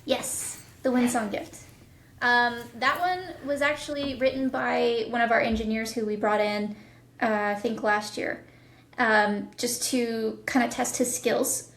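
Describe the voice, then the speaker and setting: A woman's voice: a little high, fairly even intonation, unhurried, fairly bright tone. A woman chatting casually as a guest in a podcast interview. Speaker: guest, audio drama producer and voice actor. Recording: roomy, echoing sound.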